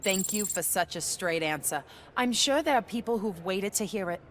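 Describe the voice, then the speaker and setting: A woman's voice: medium pitch, animated, moderate pace, slight background noise, close to an everyday voice. A woman playing a pointed, inquisitive news reporter in a video game, speaking in a formal, probing way. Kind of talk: video game cutscene acting.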